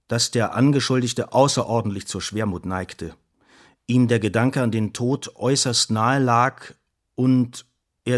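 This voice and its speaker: A man's voice: mid-range voice, natural voice. Radio play: male actor as clinical doctor, mid-range voice, official and matter-of-fact report delivery. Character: male doctor, clinical, formal, detached examiner.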